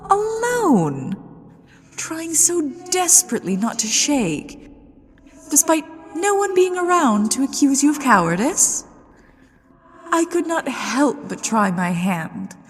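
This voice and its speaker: A woman's voice: on the high side, a little mellow. A woman playing a haughty, playfully menacing ghost lady of a haunted manor in a theatrical, teasing ASMR roleplay. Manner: theatrical, teasing, imperious, with drawn-out phrasing. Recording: long hall reverb with music behind.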